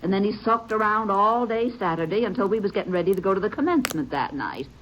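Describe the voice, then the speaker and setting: A woman's voice: mid-range voice, expressive, fast talker, muffled recording, slight background noise, natural voice. An actress playing a plainspoken rural stepmother in an old radio drama, talking in a drawling country way. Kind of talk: 1950s radio drama acting.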